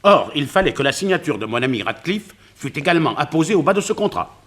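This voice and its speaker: A man playing a wealthy, worried financier in a detective radio play, speaking formally, precisely and gravely. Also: fairly high pitch, very animated, fast, fairly bright tone, heavily acted. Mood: grave, emphatic.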